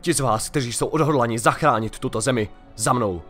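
A man's voice: mid-range voice, fairly bright tone, lightly acted. A man playing a proud, hot-tempered young prince in a fantasy audio drama, speaking coldly and imperiously. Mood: cold, commanding. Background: music.

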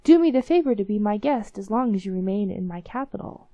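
An excitable fairy-tale king who cries out his words, read aloud by a woman. The voice is mellow and dry, pitched up for him. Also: a little fast, no echo, muffled recording, lightly acted. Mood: astonished.

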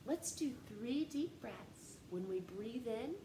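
Gentle slow woman reading to children. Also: a little high, expressive. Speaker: storytime reader. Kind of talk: children's read-aloud. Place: living room, seated on a couch. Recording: echoey room with faint hiss.

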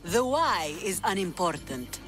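An actor playing a sly, bawdy Greek onlooker in a video game. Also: male voice, high voice, very animated, a little slow, over music. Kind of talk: video game cutscene acting.

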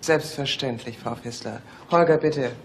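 Middle-aged police secretary, dry and pointed but polite, radio play, faint background noise. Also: female voice, very deep voice, unhurried. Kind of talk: German radio play acting.

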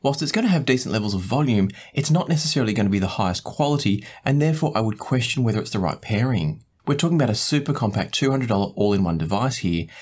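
A man, hosting a product review in a measured, explanatory way. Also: lowish voice, lively intonation, darkish tone, husky. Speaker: host, audio gear reviewer. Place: small indoor home studio room.

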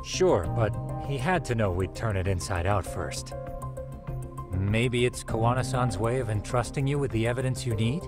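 A geeky young male tech expert, acted by a man in a game cutscene. He explains in a composed, measured way at a medium pitch, over clearly audible music. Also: natural voice. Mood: reasoning. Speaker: voice actor, video game English dub. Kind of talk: video game cutscene dialogue.

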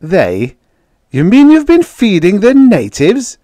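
Guy reading a grumbly skeptical spaceman husband, lively questioning delivery, close dry sound. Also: fairly low pitch, measured pace, dry recording. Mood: incredulous. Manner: questioning, grudging.

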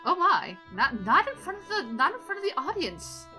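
A woman's voice: very high-pitched, big pitch swings, moderate pace, bright, forceful tone, soft background music, full character voice. A woman voicing an elegant female anime attendant, teasing and playfully prim, in improvised gaming banter. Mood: teasing, scolding.

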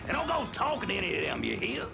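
An actor playing a bossy, irritable Southern American kitchen manager who barks scolding orders in a video game. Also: male voice, high voice, wildly swooping pitch, fast talker, vocal fry, heavily acted.

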